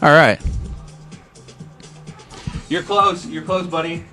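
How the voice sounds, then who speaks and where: High-pitched man reading a story aloud. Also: fairly even intonation, slow, sharp tone, soft background music. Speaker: radio host. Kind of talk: animated storybook read-aloud with off-the-cuff asides.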